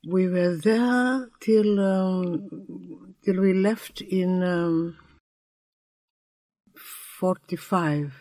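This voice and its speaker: A woman of around ninety, recalling her past in a halting way, often repeating "yeah". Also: lowish voice, mellow, no room sound. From Romania. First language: Romanian. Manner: halting, many repeated yeahs. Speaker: interviewed poet. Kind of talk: reflective conversation.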